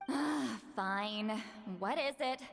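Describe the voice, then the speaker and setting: High-voiced, confident young warrior woman. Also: very slow, vocal fry, heavily acted. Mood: impatient, challenging. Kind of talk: video game voice acting.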